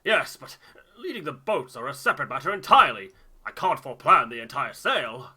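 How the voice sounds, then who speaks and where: A man's voice: mid-range voice, big pitch swings, brisk, bright, forceful tone, breathy edge, full character voice. A man voicing a hearty, stubborn, middle-aged navigator in a game, blustering and emphatic.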